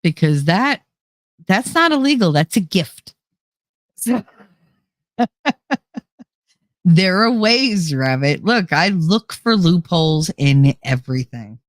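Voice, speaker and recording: Chatty low-voiced woman, slightly nasal. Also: lively intonation, takes it slowly, pauses often, no echo, clean studio sound. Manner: rambling, chatty, laughs often. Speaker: livestream host. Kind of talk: casual chat reading live comments. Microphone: close desk microphone.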